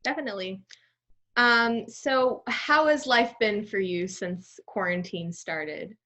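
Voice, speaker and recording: A woman's voice: mid-range voice, takes it slowly, sharp tone. A young adult woman hosting a casual interview over a video call, asking questions as a student interviewer.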